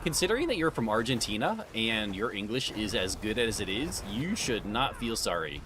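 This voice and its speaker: Low-voiced man streaming over background noise. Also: moderate pace. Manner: relaxed, chatty, greets viewers by name and calls them sir. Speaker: livestream gamer. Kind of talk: casual live-stream chat while gaming.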